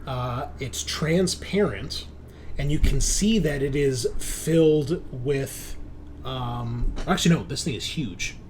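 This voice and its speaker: A man's voice: medium pitch, slow, slight background noise. A man running a tabletop sci-fi game as game master, describing scenes conversationally and correcting himself as he improvises.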